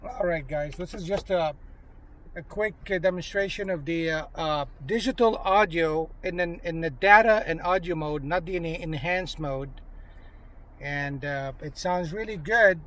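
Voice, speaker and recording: A man chatting casually and politely as an amateur radio operator, speaking in a halting, informal way. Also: a little high, fairly bright tone. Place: inside a parked vehicle. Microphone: handheld smartphone.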